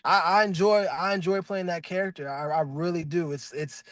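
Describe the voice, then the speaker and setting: Man, voice actor guest, casual interview, rambling and effusive, fairly high voice, dry close sound. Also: a little fast, fairly bright tone, dry recording. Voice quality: calls his own voice really deep. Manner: loose, effusive talk full of "like" and restarts.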